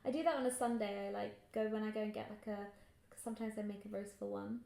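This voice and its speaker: A woman's voice: mid-range voice, fairly even intonation, quick pace, gravelly, warm tone. A young woman co-hosting a podcast, chatting in a gushing, laugh-prone way. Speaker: podcast co-host. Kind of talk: casual chat. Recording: echoey room.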